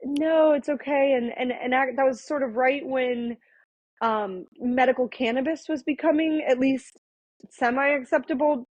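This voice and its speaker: A woman's voice: high voice, a little slow, darkish tone, no room sound. Thoughtful woman guest, dry muffled sound. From born in Thailand, raised in Florida and India. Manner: reflective, with frequent fillers and restarts. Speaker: guest, attorney. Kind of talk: conversational podcast interview.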